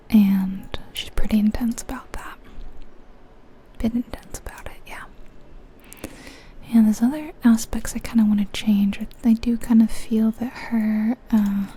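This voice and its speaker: Very breathy whispering woman, slow and soft. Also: medium pitch. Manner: unhurried, musing, thinking aloud. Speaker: ASMR video creator. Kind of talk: whispered ASMR game commentary.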